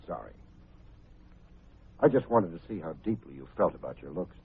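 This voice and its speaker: An actor playing a wry, hard-boiled private detective in an old-time radio drama, speaking in a clipped, sardonic way. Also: male voice, very deep voice, lively intonation, moderate pace, gravelly, breathy edge, exaggerated voice. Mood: pressing, cool. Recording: muffled, phone-like old radio recording with faint music.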